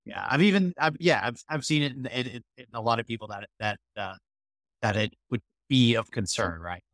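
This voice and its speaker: A man's voice: mid-range voice, moderate pace, a bit bright, no echo. A man speaking as a podcast guest in casual discussion, hedging and searching for his words as he gives his opinions.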